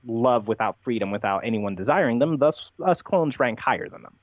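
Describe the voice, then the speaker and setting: A man's voice: medium pitch, animated, fairly quick, no echo. Male interviewee, mid-range voice, emphatic long-winded explainer, close dry sound that is a bit muffled. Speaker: podcast guest. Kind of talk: unscripted interview answers, explanatory monologue.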